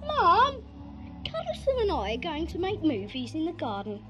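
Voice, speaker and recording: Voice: very high-pitched, wildly swooping pitch, normal speed, dull audio, music in the background, heavily acted. A performer playing a precocious little boy who orders others about like a theatrical film director. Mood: bossy, playful.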